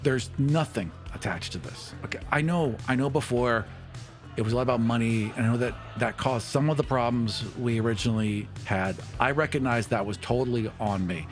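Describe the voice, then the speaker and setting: A man's voice: mid-range voice, brisk, faint music behind. A man running a tabletop roleplaying game, improvising narration and dialogue in an informal, conversational way. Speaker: game master.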